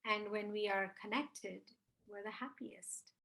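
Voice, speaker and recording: A woman's voice: medium pitch, steady tone, a little slow, gravelly, fairly bright tone. A woman in her fifties from India, a spiritual mentor, talking as an interview guest in a reflective, earnest way. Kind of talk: interview conversation.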